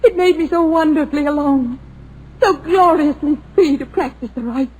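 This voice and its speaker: A woman's voice: high-pitched, normal speed, darkish tone, close to an everyday voice, low-quality recording. An actress playing a demure young woman who turns out to be a gloating murderer. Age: young adult. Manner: proper then gloating and unhinged.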